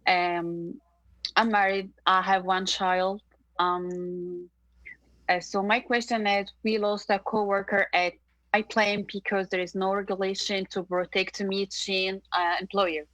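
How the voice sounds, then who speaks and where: A woman from Egypt, a meat plant worker, asking her question haltingly with many fillers. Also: medium pitch, little pitch movement, fairly bright tone, dry recording, rough recording.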